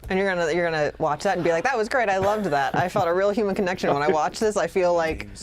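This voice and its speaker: Quick, low-pitched sardonic woman. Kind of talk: casual podcast chat. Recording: noticeable background noise.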